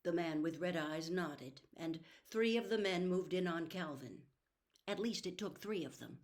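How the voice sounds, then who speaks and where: A woman with a slightly low voice reads a novel aloud as its narrator. Her storytelling is dramatic, expressive and deliberately phrased. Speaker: audiobook narrator.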